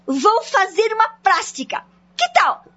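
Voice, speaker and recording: Very high-voiced, vain wife. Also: female voice, very animated, measured pace, sharp tone, full character voice. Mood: coaxing, excited. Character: vain, boastful middle-class wife, insistent and status-conscious. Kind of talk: radio soap opera acting. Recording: dull lo-fi audio, music underneath.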